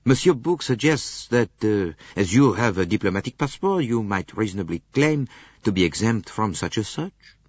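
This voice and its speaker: A courteous, self-assured Belgian detective in an audiobook, with a French accent. His speech is precise and lively in pitch, with a husky edge in a muffled recording. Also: moderate pace, no room sound. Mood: apologetic.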